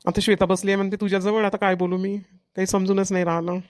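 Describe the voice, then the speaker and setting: A woman acts as an eager young fiancé in a cartoon, talking quickly in a mid-range voice. The sound is close and dry, and the character comes across as smitten. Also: medium pitch, flat delivery, dry recording, natural voice. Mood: shy, smitten. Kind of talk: Marathi cartoon comedy dialogue.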